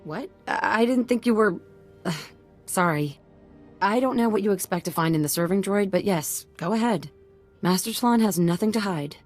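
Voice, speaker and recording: An anxious young servant woman from a video game, voiced by an actress. She is deferential and apologetic, a little guarded, with a medium-pitched voice. Music plays faintly behind her. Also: animated, normal speed, lightly acted. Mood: flustered, apologetic.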